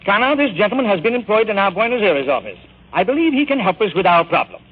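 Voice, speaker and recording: A man playing a pompous, bombastic, domineering magazine publisher in 1940s radio style, grandiose and brisk. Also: high-pitched, sing-song, breathy edge, exaggerated voice. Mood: brisk, commanding. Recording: scratchy, tinny 1940s radio broadcast.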